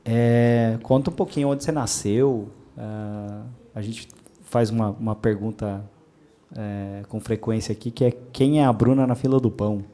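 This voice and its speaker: A man hosting a podcast in a relaxed, slangy way, with many hesitant fillers. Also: fairly low pitch, normal speed. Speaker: podcast host. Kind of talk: casual interview chat.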